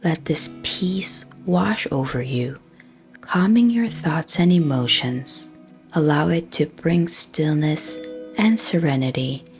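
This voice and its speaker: A woman with a low voice leads a scripted guided prayer meditation. Her delivery is soft, breathy and very slow, with pauses between short phrases. Gentle plucked guitar music plays softly behind her.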